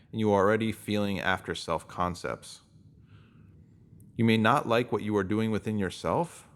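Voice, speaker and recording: A man reading a scripted self-help piece, addressing the listener directly. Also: lowish voice. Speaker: reader of written posts.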